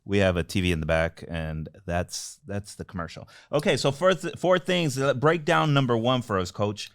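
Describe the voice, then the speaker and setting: A man's voice: fairly low pitch, lively intonation, moderate pace. A man hosting a casual business podcast, talking informally and prompting his co-host along.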